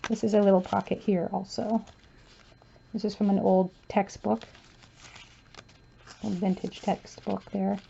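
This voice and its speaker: A woman casually talking through a handmade journal she shows page by page, softly and with frequent fillers, her voice going hoarse as she loses it. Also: medium pitch, a little slow, pauses often, muffled recording. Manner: soft-spoken, relaxed, with frequent um and uh fillers. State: losing her voice, clearing her throat. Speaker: crafter showing her journal. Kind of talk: casual flip-through commentary.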